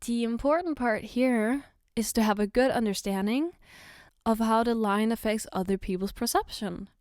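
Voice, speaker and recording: A woman playing an affectionate, gently teasing girlfriend in a soft-spoken ASMR roleplay, tender and reassuring. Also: on the high side, dry recording, studio quality, natural voice. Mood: gentle, attentive. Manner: tender, reassuring, playfully coaxing, unhurried. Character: affectionate, gently teasing girlfriend doing her partner's makeup.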